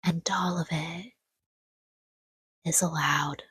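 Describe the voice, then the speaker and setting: A woman softly guiding a sleep meditation in a hushed, breathy whisper, with gentle, soothing delivery in short phrases and long pauses. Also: deep voice, steady tone, dry recording, studio quality. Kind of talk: guided sleep meditation, scripted, soft-spoken.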